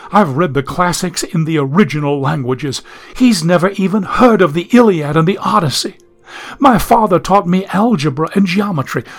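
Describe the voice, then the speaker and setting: Man voicing a genteel young lady from the 1870s, anxious, prim, storybook reading, highish voice, faint music behind. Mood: troubled. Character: genteel young woman from the 1870s, frightened and lost. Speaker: audiobook narrator. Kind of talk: audiobook reading.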